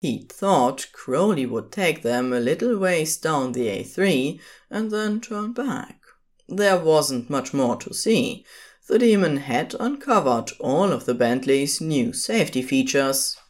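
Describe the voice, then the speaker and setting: Female narrator for audio fiction, very low register, lively intonation, measured storytelling.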